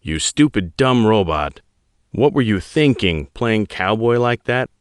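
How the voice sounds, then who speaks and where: A man's voice: lowish voice, animated, a little slow, clear, resonant tone, no room sound, studio quality, pitched above the performer's own voice. A man voicing a shaken Texan crewmate with a shaky, pleading voice. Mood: frantic.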